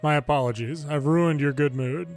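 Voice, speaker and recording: A man reads game story lines aloud as host of a playthrough. His voice is a bit high for a man, and his delivery is informal and amateur, dropping into casual asides. Game music plays underneath. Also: moderate pace, a little mellow. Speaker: gameplay video host reading subtitles aloud.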